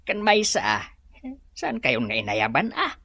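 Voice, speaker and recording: A woman's voice: medium pitch, huge pitch swings, measured pace, vocal fry, pushed and bright, no room sound, heavily acted. A woman playing a bumbling, wheedling resort employee in a comic Ilocano audio drama. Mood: nervous, sheepish.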